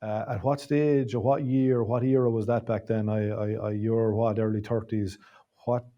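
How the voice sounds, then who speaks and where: A man's voice: on the low side, flat delivery, moderate pace, mellow, muffled recording. An Irish man hosting an interview, probing and rephrasing his questions as he goes. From Ireland. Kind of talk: conversational interview.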